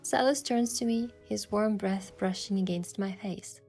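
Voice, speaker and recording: A woman playing a sincere, easily flustered young heroine, narrating and speaking in a romance story with soft expression. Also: medium pitch, unhurried, natural voice. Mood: tender narration. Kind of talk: first-person narration and dialogue in a romance story. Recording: music behind the voice.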